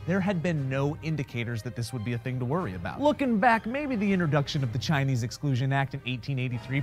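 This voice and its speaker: A man delivering a comedic scripted history narration with wry, sarcastic asides. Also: fairly high pitch. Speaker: history segment presenter.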